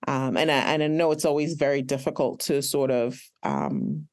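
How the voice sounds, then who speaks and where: Measured woman pharmacist, frequent pauses. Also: a bass voice, animated. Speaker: pharmacist and educator. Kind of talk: podcast interview answers.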